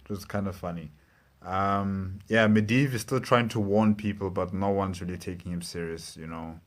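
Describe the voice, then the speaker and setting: A man's voice: low voice, fairly even intonation, normal speed. A man hosting a reaction video, talking casually and hesitantly as he gathers his thoughts off the cuff.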